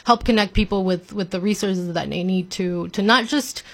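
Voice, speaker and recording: A woman's voice: mid-range voice, moderate pace. A woman from Mexico, answering interview questions as a nonprofit director in an earnest, upbeat, conversational way.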